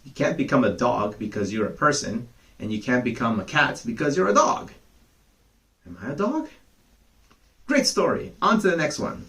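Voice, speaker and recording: A man reading bedtime fables aloud for children, gentle and chatty, with casual asides to his young listeners. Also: medium pitch, very animated, normal speed, long pauses. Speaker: storyteller.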